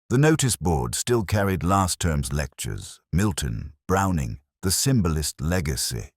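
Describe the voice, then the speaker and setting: A man reading scripted literary detective fiction as narrator, in a measured, formal and brooding manner. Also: rumbling low, no room sound, studio quality.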